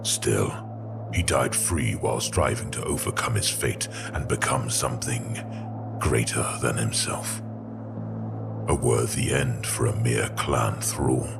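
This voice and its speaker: A man voicing a grim, sorrowful warrior chaplain in an audio drama, speaking in a measured, formal way. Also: rumbling low, vocal fry, over loud music, voice pushed down, exaggerated voice. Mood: bitter, solemn.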